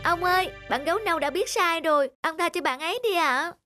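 A woman voicing a young bear cub in a children's cartoon, childlike, expressive and earnest. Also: very high voice, fairly quick, music in the background. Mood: pleading. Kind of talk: Vietnamese children's cartoon dubbing.

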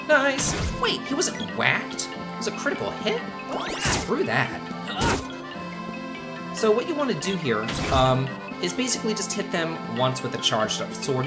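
A chatty, playful let's play host giving casual, exclamatory commentary on a video game. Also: medium pitch. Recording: game music behind the voice. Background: video game music.

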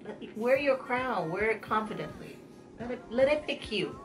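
A young woman from Uganda giving a casual tutorial as a guest, explaining in a halting, conversational way. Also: a little high, lively intonation. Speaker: guest head wrap vendor giving tutorial. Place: small indoor room, sequin backdrop. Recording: music playing behind the voice.

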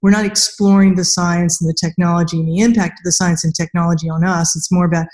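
Low-pitched middle-aged woman interviewing. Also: fairly even intonation, fast talker, a little mellow. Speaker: podcast host interviewing an author. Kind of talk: casual interview chat. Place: indoors against a plain wall, video call.

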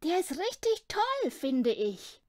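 A woman's voice: extremely high pitch, very animated, takes it slowly, darkish tone, no room sound, clean studio sound, pitched above the performer's own voice, heavily acted. A woman voicing a keen, sweet, chatty little schoolgirl in a storybook, speaking emphatically. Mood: delighted.

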